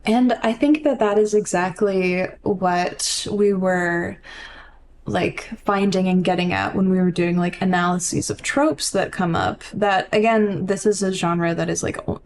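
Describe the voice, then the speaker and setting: A woman's voice: fairly low pitch, steady tone, measured pace, darkish tone. Chatty woman, casual podcast talk, some noise. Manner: chatty, heavy use of "like". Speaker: podcast co-host. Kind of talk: casual book discussion.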